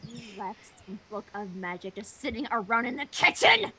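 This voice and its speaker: A woman's voice: fairly high pitch, very animated, moderate pace, a crowd in the background, voice pushed down. A woman voicing flustered, indignant young female cartoon ponies with a whiny, defensive manner.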